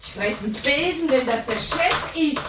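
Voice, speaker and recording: Heard roomy and off the microphone on an old radio recording, a put-upon cleaning woman. Her high voice swings in period comedy style as she grumbles and talks back cheekily. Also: lively intonation, moderate pace. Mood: grumbling. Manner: dry, plain-spoken, back-talking. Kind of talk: 1950s German radio comedy acting. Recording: roomy, distant, noisy 1950s radio recording.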